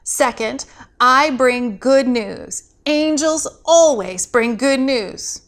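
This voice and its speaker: Young trainee angel, non-binary, read by a woman: high voice, earnest, hesitant, storybook style. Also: lively intonation, slow pace, fairly bright tone, full character voice. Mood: earnest, reciting.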